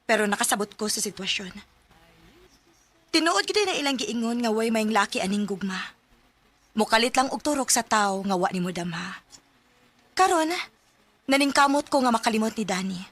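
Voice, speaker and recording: Young radio drama heroine: high voice, tender, sincere, wounded. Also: female voice, expressive, moderate pace, long pauses, fairly bright tone, no room sound. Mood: heartbroken, resigned.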